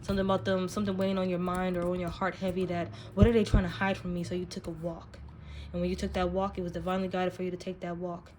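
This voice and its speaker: A woman's voice: lowish voice, flat delivery, quick pace, a little mellow, a little hiss or hum. A woman giving a casual spiritual card reading, speaking directly to the listener in an emphatic, slangy, profane way. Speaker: tarot card reader, channel host.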